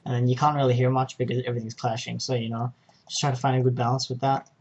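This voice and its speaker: A man narrating an informal software tutorial, explaining each step in a hesitant way. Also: mid-range voice, level tone, fast, lo-fi sound, slight background noise. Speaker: tutorial presenter.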